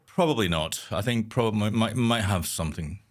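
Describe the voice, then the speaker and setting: A Scottish-born man being interviewed as a guest, talking in a hesitant way, repeating words and trailing off quietly. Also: on the low side, brisk. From Scotland. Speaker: guest architect. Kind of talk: conversational interview.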